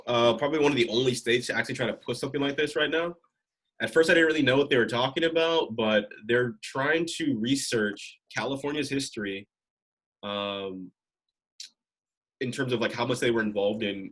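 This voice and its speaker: A man's voice: medium pitch, fairly bright tone. A man co-hosting a casual comedy podcast, talking in a laid-back way and tossing in "bro" often. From Los Angeles. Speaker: podcast co-host.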